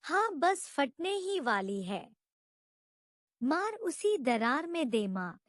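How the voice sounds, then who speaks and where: Woman as bullying male priest, storybook character, commanding, scolding, animated pitch, high voice. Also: takes it slowly, no echo, studio quality, heavily acted. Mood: goading. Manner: commanding, goading.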